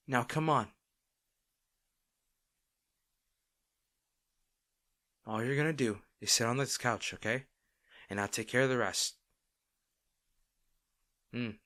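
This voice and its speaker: A man playing a caring boyfriend in an intimate audio roleplay, talking tenderly and with gentle insistence to his partner. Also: mid-range voice, a little slow, pauses often, natural voice. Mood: gently firm. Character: caring, gently firm young boyfriend soothing an overworked partner.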